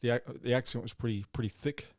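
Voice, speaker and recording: A man from New Zealand with a slight Kiwi accent, telling his story in a casual podcast chat, halting with restarts. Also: mid-range voice, darkish tone, dry recording, studio quality. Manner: halting, many restarts and fillers. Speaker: podcast host.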